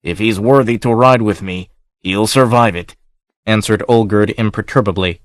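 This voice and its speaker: A man's voice: on the low side, normal speed, no echo, studio quality, natural voice. A man voicing a hard, scornful outlaw chief who speaks coldly and gives orders. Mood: commanding. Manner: cold and commanding.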